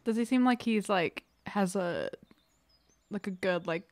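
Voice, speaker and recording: A woman's voice: mid-range voice, a little slow, no room sound. Casual woman playing a tabletop game, dry sound. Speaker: player in a tabletop roleplaying game. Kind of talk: casual chat during tabletop game play.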